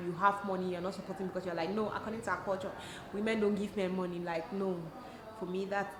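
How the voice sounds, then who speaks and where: Cameroonian woman vlogger in an echoey room. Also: lowish voice. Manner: animated, emphatic, conversational, rhetorical questions. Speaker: host of a YouTube channel. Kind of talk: casual advice vlog answering viewer questions. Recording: echoey room, clear background noise.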